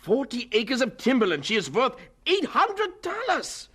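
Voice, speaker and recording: Gruff, swooping farmer. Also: male voice, very high-pitched, huge pitch swings, measured pace, pushed and bright, heavily acted. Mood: indignant haggling. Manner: blunt, haggling, exclaiming. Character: stern, miserly farmer, gruff and grudging. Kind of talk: radio drama acting.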